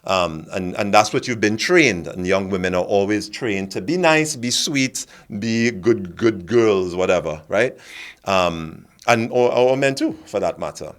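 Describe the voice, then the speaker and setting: A man's voice: on the low side, expressive, unhurried. A man from Trinidad, an actor and acting coach, talking thoughtfully on a discussion panel and often repeating words as he builds a point.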